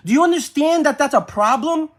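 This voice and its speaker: Phone-argument caller: high pitch, pressing and repetitive, audibly exasperated. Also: lively intonation, fairly quick. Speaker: nephew or niece on a phone call with an aunt. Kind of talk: heated family argument on a phone call.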